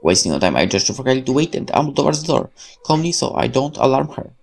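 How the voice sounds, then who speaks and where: Polish-native man, let's play host, reads a horror story game aloud, gravelly, lively intonation, corrects himself. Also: mid-range voice, fast talker. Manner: lively reading with self-corrections and asides. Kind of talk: reading a horror visual novel aloud.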